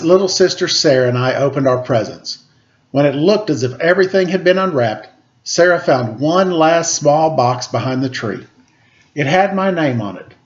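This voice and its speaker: Higher-pitched man reading a children's story. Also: highs cut off. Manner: acts out quoted dialogue. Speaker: storyteller reading a picture book aloud. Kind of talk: children's story read-aloud.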